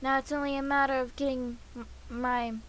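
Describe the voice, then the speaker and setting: A woman's voice: high-pitched, flat delivery, brisk, a bit bright, slight background noise. A young woman streaming a game, chatting casually to herself and humming filler sounds while she waits.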